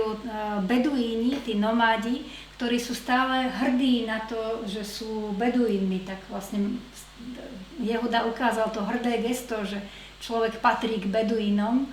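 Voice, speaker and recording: Woman interpreting in an echoey hall. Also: a little high. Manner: measured, hesitant with fillers while translating. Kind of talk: consecutive interpreting. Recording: echoey hall with faint noise.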